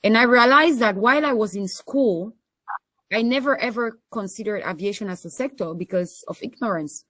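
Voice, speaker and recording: A woman's voice: fairly high pitch, moderate pace, fairly bright tone, dry recording, low-quality recording. A woman with a Cameroonian accent, introducing herself as a panelist. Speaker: panelist, association president.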